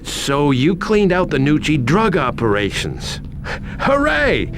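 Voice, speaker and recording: Wisecracking, animated interrogator. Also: male voice, fairly high pitch, slow pace, noisy background, heavily acted. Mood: mocking. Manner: sarcastic, chatty. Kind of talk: video game cutscene acting.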